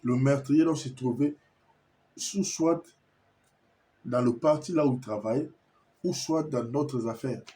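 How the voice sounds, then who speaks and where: Insistent Congolese man, mellow voice. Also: mid-range voice, unhurried, long pauses. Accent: Congolese French. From Kinshasa, Congo. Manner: insistent, repetitive, stammers when searching for words. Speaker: commentator addressing viewers. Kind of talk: impassioned video monologue.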